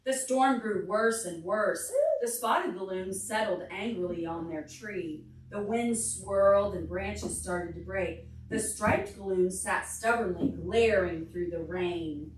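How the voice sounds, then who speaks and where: A woman children's librarian reading a picture book aloud expressively to preschoolers, pausing to explain simply and ask them questions. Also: mid-range voice, distant mic. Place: large carpeted indoor library meeting room. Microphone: distant camera microphone. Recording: roomy, picked up from across the room.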